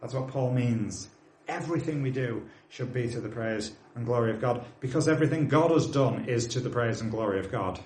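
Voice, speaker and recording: A man's voice: mid-range voice, fairly quick, hardly any pauses, a little mellow, echoey room, slight background noise. A man of forty with a British accent, preaching a sermon, earnestly urging his congregation and dropping in plain, friendly asides. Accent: British English. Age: 40s.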